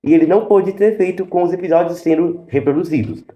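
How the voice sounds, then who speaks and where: Fast, higher-pitched Brazilian man, echoey room. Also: mellow. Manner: opinionated, explanatory, addresses viewers directly. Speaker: YouTube host. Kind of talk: casual review commentary to camera. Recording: roomy, echoey sound.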